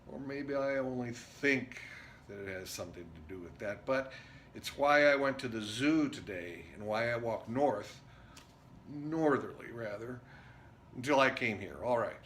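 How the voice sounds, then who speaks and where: A man performing a dramatic stage monologue as an intense, rambling drifter, speaking in emphatic broken phrases with pauses. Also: medium pitch, lively intonation, a bit bright. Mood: musing, insistent. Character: Jerry, intense rambling drifter confiding a story. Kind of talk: theatrical dramatic monologue reading. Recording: noticeable background noise.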